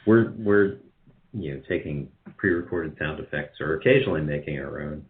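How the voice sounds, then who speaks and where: Male interview guest, very low voice, casual and halting, heard over dull narrow-band call audio. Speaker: guest, radio drama producer.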